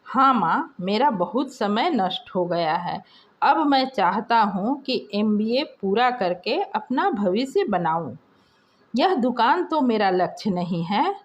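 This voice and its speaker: A woman's voice: mid-range voice, sing-song, takes it slowly, highs cut off. A woman voicing a dutiful young son in a story, earnest and pleading as he talks. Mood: frustrated. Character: dutiful young son, overburdened student shopkeeper.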